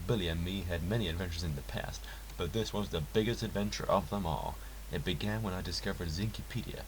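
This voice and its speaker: A man with a notably low voice narrates a first-person story from a script. His delivery is earnest and theatrical. A light hiss sits under the recording. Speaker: narrator reading a story aloud. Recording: hissy, clearly noisy home recording.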